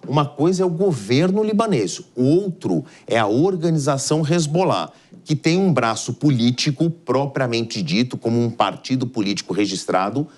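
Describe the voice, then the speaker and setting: A man's voice: medium pitch, animated, moderate pace, darkish tone. A man with a Brazilian accent giving live explanatory news commentary as an analyst, in a didactic, emphatic way.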